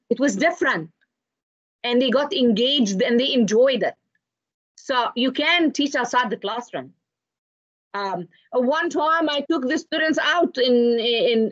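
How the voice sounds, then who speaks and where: A middle-aged woman from Egypt, giving an expressive, explanatory online lecture with anecdotes over a video call. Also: fairly high pitch, no echo. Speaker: lecturer presenting to teachers. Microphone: video call, computer microphone. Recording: dull, lo-fi video call audio.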